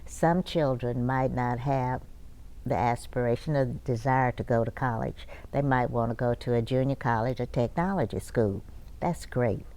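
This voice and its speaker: A woman giving an emphatic, measured interview answer as an alumni president. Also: extremely low pitch, mellow. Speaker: college alumni association president.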